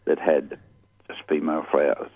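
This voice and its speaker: Croaky low-voiced man. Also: monotone, moderate pace, no room sound, narrow, tinny audio. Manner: rambling, many fillers and restarts. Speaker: horticulture expert guest. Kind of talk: casual radio chat.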